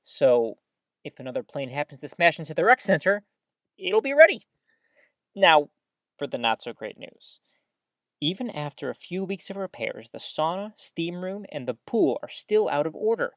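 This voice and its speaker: An actress plays an upbeat woman hosting small-town radio in an audio drama. Her voice sits low but swings with lively intonation. She announces in an earnest, folksy way, recorded close and dry. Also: normal speed, no echo. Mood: earnest, newsy. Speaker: radio host. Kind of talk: scripted community radio announcing with banter.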